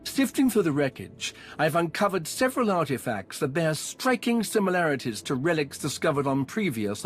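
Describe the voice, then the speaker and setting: A man's voice: on the high side, lightly acted. A man playing an enthusiastic, courteous archaeologist in a video game, speaking in a formal, effusive, wordy way. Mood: fascinated. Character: enthusiastic, courteous male archaeologist expedition leader, scholarly and eager. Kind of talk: video game dialogue acting. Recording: music behind the voice.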